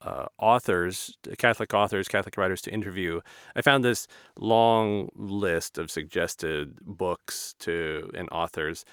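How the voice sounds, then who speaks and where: A man's voice: low voice, measured pace, a bit bright, smooth, no echo, studio quality. A man hosting an interview in a casual, conversational style. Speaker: interview host.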